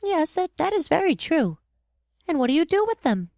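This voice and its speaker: A woman's voice: very high-pitched, sing-song, moderate pace, gravelly, a little mellow, no room sound, heavily acted. Actress playing small boy prince, wondering, persistent, theatrical radio play, wildly varied intonation, dry sound. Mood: curious, questioning. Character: curious, persistent, earnest young boy prince. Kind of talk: theatrical radio drama acting.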